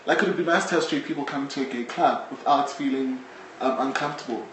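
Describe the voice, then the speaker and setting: A man's voice: medium pitch, quick pace. A man with a South African accent talking casually as an interviewee. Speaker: interviewee, audio drama creator. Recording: echoey room, distant mic, faint noise.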